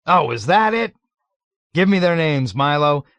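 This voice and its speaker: A man voicing a jovial, nervous middle-aged colonel who gushes loudly and is eager to please. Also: a little high, expressive, measured pace, no echo, pitched above the performer's own voice. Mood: gushing. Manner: loud, gushing, eager to please.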